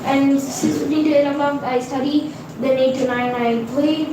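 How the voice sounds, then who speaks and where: A woman with an Indian accent hosting a casual livestream, reading chat and tutoring math in a slow, halting way with many fillers. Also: high voice, steady tone, distant mic. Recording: very echoey room, voice off-mic, noisy background.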